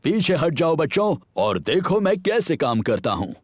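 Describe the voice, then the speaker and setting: A man's voice: a little high, very animated, moderate pace, no echo, clean studio sound. A man voicing a boastful, bumbling father pig with a hearty chuckle in a children's cartoon dub. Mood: boastful, cheery.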